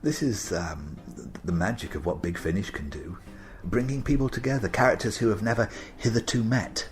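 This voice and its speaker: Deep-voiced, halting man. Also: faint music behind. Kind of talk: informal interview.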